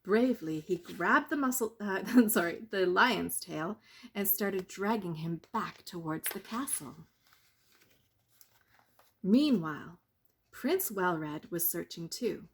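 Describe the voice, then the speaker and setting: Husky woman reading a bedtime story. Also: fairly low pitch, animated, slow pace. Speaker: storyteller reading a picture book.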